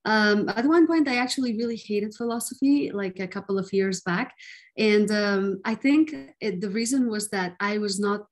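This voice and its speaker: A woman's voice: medium pitch, normal speed. Brief: woman in her twenties from Afghanistan, interview guest, earnest and fluent. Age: 20s. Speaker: guest, student and activist. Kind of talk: interview answers.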